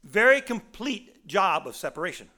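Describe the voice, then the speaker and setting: A man in his sixties with a raspy voice, preaching a sermon in a folksy storytelling way with many restarts. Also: sits high, big pitch swings, moderate pace, pushed and bright.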